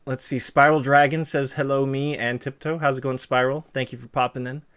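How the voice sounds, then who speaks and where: A man's voice: medium pitch, flat delivery, brisk, fairly bright tone, clear, resonant tone. A young man hosting a live stream, chatting casually with a guest and reading out viewer comments in a rambling, easygoing way. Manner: chatty, rambling, many fillers and restarts. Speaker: streamer host interviewing a guest.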